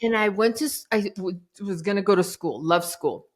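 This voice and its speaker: A middle-aged woman, a life coach guest on a podcast, telling her story in a lively, dramatic way. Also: medium pitch, normal speed. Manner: animated, dramatic retelling with acted-out quotes. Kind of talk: casual interview storytelling.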